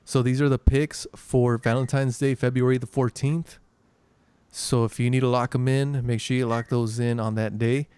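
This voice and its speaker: A man's voice: medium pitch, little pitch movement, normal speed, dark tone, smooth, no room sound. A man co-hosting a sports podcast, casually and somewhat hesitantly recapping betting picks.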